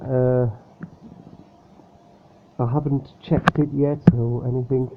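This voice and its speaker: Soft-spoken man on a tinny line. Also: medium pitch. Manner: speaking softly, hesitant with many fillers. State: slightly nasal and sniffly from a cold. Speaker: model aircraft unboxing vlogger. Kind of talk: casual unboxing chat. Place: room at home with a fan running. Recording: tinny phone-like sound with faint fan hum.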